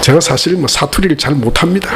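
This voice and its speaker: A man's voice: fairly high pitch, fast, very noisy. A man from the region of Korea, speaking with its as a pastor, preaching a Sunday sermon with joking asides to the congregation. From Gyeongsang region, Korea. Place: church sanctuary.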